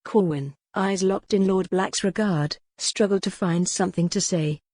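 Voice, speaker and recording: A woman's voice: medium pitch, a little slow, darkish tone, dry recording. A woman reading scripted narration from a historical romance novel as an audiobook narrator.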